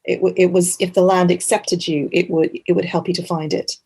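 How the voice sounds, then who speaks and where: A woman's voice: on the low side, level tone, brisk, creaky, darkish tone, husky. A woman from Montreal speaking as an interview guest in a reflective, conversational way, earnest and often rephrasing mid-thought. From Montreal, Canada. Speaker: interview guest, author and journalist.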